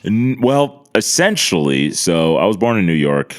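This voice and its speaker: A man's voice: deep voice, sing-song, unhurried. A man from the United States, a podcast guest telling casual comedic stories with profane, slangy exaggeration.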